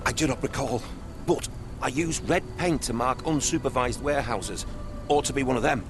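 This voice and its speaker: An actor playing a defensive, evasive, corrupt Victorian customs officer in a video game. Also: male voice, medium pitch, normal speed, noisy background, lightly acted. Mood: reluctant. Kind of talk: video game cutscene acting. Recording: music behind the voice.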